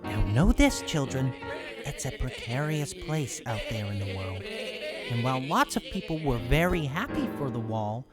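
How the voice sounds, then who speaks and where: A woman's voice: a bass voice, very animated, measured pace, over loud music. A woman narrating a rhyming storybook tale in a theatrical manner.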